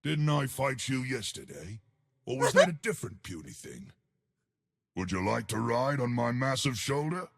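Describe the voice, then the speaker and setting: An actor playing a huge, ancient living stone colossus for a video game, with a gravelly, husky voice and hearty, simple-minded bravado. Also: male voice, mid-range voice, sing-song, moderate pace, heavily acted. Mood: playful bravado. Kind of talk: video game character voice lines.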